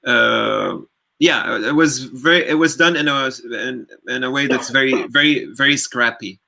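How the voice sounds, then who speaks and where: Lebanese filmmaker guy, super slow, repeats himself, bright sharp voice. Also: medium pitch, creaky. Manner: slow, repeats words, hesitant. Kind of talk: panel discussion.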